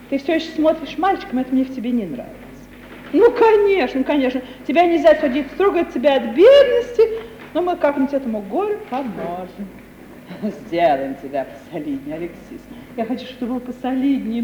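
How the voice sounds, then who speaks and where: A woman's voice: a little high, warm tone, highs cut off. An actress playing a coquettish, miserly older wealthy aunt who gushes and repeats her phrases in a 1950s radio comedy. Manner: gushing, repeats phrases theatrically. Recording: old, hissy, roomy radio play recording.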